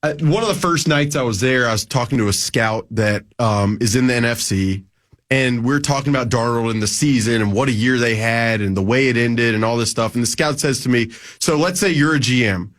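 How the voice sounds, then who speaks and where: A man's voice: mid-range voice, a little fast, fairly bright tone. A man in his twenties talking casually as a sports reporter guest on a radio show, rambling with lots of fillers and restarts. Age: 20s.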